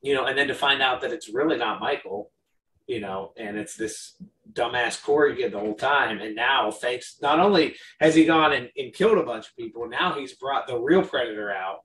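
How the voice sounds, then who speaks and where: A man's voice: medium pitch, moderate pace, fairly bright tone, distant mic. A man co-hosting a horror podcast, chatting in an easygoing, agreeable way. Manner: easygoing, agreeable, reads viewer comments aloud. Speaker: podcast co-host. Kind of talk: casual horror movie chat. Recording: roomy sound, mic set back, faint hiss.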